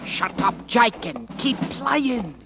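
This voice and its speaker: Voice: medium pitch, lively intonation, slow, creaky. An actor playing a cheeky, bossy British army boy with a Cockney accent in an old radio drama. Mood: cocky. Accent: Cockney English. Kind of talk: 1940s radio drama acting. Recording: dull, noisy vintage radio recording.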